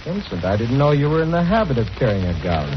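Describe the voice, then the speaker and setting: An actor playing a sceptical, dismissive brother-in-law in a 1940s radio drama. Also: male voice, medium pitch, very animated, fast talker, close to an everyday voice. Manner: incredulous, dismissive. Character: sceptical, brisk brother-in-law who scoffs at danger. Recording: muffled old radio recording.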